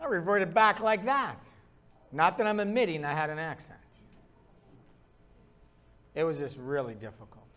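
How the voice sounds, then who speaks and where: High-voiced man preaching with self-mocking humor. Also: normal speed, pauses often, a bit bright, dull audio. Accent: northern US. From Upper Midwest of the US. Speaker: pastor. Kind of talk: sermon.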